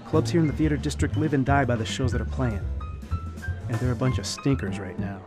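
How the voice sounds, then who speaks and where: A man's voice: mid-range voice, a little fast, lightly acted. Radio drama barman, played by a man: plainspoken, streetwise, kindhearted, mid-range voice over guitar. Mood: wry, friendly. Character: blunt, kindhearted 1950s nightclub bartender.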